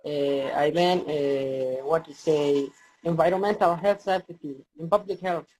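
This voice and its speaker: A man's voice: on the high side, steady tone, a little mellow, poor audio. Hesitant young man. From Somalia. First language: Somali. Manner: halting, hesitant English with many fillers. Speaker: university student, chat guest. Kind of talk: casual video chat.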